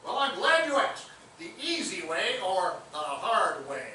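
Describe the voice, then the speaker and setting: A man performs a lecherous, wisecracking detective in a stage farce, pompous and wordy. The pitch moves around a lot. It is heard from a distance in a very reverberant, noisy theatre. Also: big pitch swings, measured pace, bright, forceful tone, full character voice. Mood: jovial, boozy. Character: boozy, wisecracking, lecherous male police detective. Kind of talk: farcical comic stage acting.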